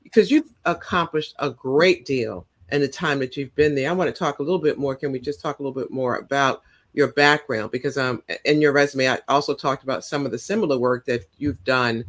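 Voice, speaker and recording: A woman hosting a podcast, introducing and questioning her guest in casual chat with encouraging prompts and quick laughs. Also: very deep voice. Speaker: podcast host.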